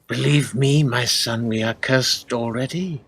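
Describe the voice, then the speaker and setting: An actor playing a cruel, menacing middle-aged lord in a radio play, speaking in archaic, theatrical phrases. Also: male voice, mid-range voice, measured pace, gravelly, a bit bright, breathy edge, full character voice. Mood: grim, commanding.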